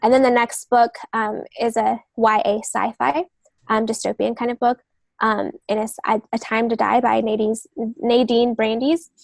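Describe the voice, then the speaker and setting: Higher-pitched woman with a creaky edge. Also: little pitch movement, measured pace, dry recording. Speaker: guest novelist and literary agent. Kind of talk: conversational writing advice.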